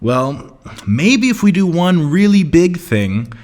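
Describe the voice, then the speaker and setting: A man's voice: fairly high pitch, very animated, slow pace, darkish tone. A man playing a sarcastic, scheming know-it-all coworker in an audio comedy sketch, condescending and mocking. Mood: scheming, patient.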